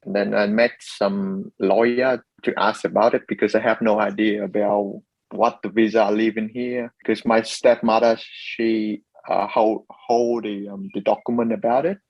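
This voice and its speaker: A young man from Vietnam speaking halting English with a Vietnamese accent, answering interview questions. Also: fairly low pitch, little pitch movement, dry recording. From Ho Chi Minh City, Vietnam. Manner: hesitant, halting English with fillers. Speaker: interview guest. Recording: slightly dull, lo-fi audio.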